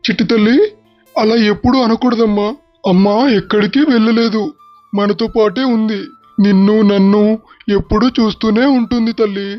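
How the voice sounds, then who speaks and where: A man playing a gentle, sorrowful village father who explains things tenderly and patiently, in an animated moral story. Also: high voice, normal speed, muffled recording, soft background music, close to an everyday voice. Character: gentle, sorrowful, doting village father and cremation-ground keeper.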